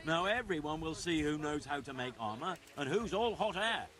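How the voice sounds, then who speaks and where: A man's voice: on the high side, animated, normal speed. An actor playing a boisterous, teasing medieval craftsman in a video game. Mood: gloating, amused. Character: boisterous gloating male craftsman in a medieval town. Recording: game dialogue under loud music.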